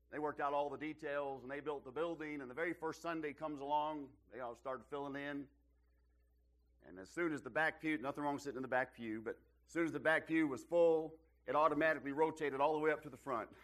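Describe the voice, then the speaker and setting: Slightly hoarse man preaching. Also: mid-range voice. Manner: self-deprecating, joking, builds to emphatic rises. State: losing his voice. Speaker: visiting preacher. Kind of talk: sermon with folksy humor. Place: church auditorium.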